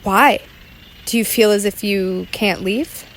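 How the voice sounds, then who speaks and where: A woman's voice: medium pitch, takes it slowly, a little hiss or hum. A woman playing a serious, probing investigative reporter in a documentary-style radio play. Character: earnest, suspicious undercover female investigative reporter.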